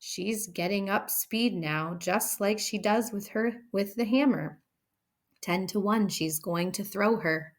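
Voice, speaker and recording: A watchful, scornful schoolgirl from a storybook, as a woman reader plays her. She talks in confidential murmurs and whispers, like someone passing on gossip, at a measured pace. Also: medium pitch. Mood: wry, excited.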